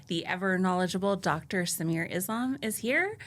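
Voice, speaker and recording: A woman's voice: on the low side, animated, normal speed. A woman hosting a casual health chat, asking friendly, short questions. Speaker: host.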